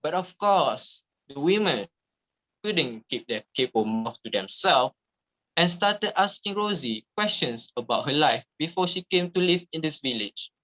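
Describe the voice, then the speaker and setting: Bright, higher-pitched male storyteller. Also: big pitch swings, unhurried, low-quality recording. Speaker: narrator. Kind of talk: dramatic scripted storytelling.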